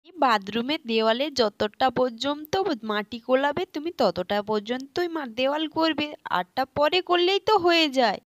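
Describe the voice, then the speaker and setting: A woman acts out a busy village mother for a children's doll tale. Her voice sits fairly high and the sound is dry. She comes across as practical and homely, talking her way through the housework. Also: lively intonation, moderate pace, no echo. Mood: chiding. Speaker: storyteller.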